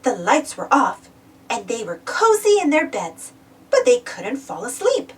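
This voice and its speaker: Slow, very animated high-voiced woman storyteller. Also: breathy edge, recorded from a distance. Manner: expressive, deliberate storytelling for young children. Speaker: children's storybook narrator. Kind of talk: read-aloud picture book narration.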